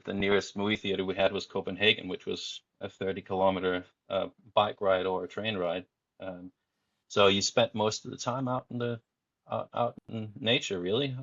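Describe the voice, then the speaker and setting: Easygoing man, low voice, muffled sound. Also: fairly bright tone, no room sound. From Denmark. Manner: frequent fillers and restarts, easygoing. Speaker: podcast guest. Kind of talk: relaxed conversational storytelling.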